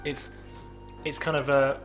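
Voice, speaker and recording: A man's voice: medium pitch, little pitch movement, a little slow, fairly bright tone, faint music behind. A young man co-hosting a casual podcast, chatting and joking with lots of ums and laughs. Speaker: podcast co-host. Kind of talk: casual chat over beer.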